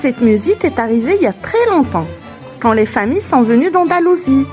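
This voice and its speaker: A woman plays a young girl guide in a children's cartoon, cheerful and knowing. The voice is very high and bouncy, explaining with enthusiasm over soft music. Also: sing-song, a little fast. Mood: instructive. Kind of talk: scripted children's educational cartoon dialogue.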